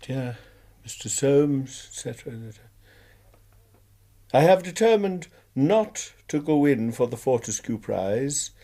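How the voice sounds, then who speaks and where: Dark-toned college tutor. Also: male voice, mid-range voice, animated. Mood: reading, reproving. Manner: formal, deliberate. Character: stern, proper college tutor. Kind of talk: British radio drama acting.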